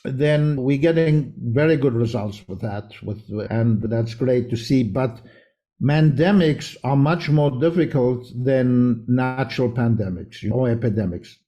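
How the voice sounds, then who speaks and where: A man's voice: medium pitch, measured pace, highs cut off. A man from South Africa who grew up in Israel, a homeopath guest talking casually and earnestly, often restarting sentences. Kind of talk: casual interview talk.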